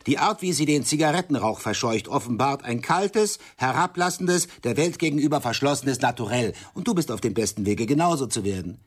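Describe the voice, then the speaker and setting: Haughty, wounded art buyer: male, slightly husky, very animated intonation, eloquent and biting, radio play. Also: mid-range voice, a little fast, hardly any pauses. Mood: scornful, cutting. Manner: eloquent, biting, pointedly precise. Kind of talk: stage-play dialogue in radio drama.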